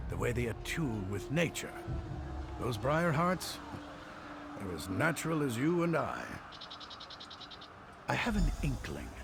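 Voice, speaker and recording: An actor playing a nervous, scholarly alchemist in a video game, explaining things in an articulate, earnest and theatrical way. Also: male voice, medium pitch, creaky. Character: nervous, scholarly male alchemist obsessed with enemy magic.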